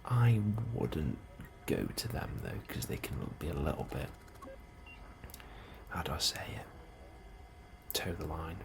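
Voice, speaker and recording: A man in a soft, hushed ASMR role-play, speaking calmly and unhurriedly as a helpful colony immigration officer, close to the microphone. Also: very deep voice. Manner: hushed, unhurried, sometimes dropping into whisper. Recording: clearly audible background ambience noise.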